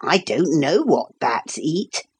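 A woman voicing a pompous, fussy old man who keeps an ark, speaking in a slow, formal, lecturing manner. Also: low-pitched, big pitch swings, slight background noise, exaggerated voice. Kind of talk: audiobook character voice.

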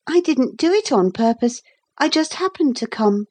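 Woman voicing a high-pitched, earnest young boy. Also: expressive, moderate pace, darkish tone, slightly rough. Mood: polite, puzzled. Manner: earnest, politely formal. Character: young boy, polite, proud, bravely indignant.

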